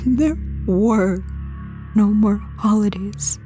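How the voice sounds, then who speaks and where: A woman narrating an audio drama in a hushed, half-whispered, wistful way. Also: mid-range voice, slow pace, faint music behind.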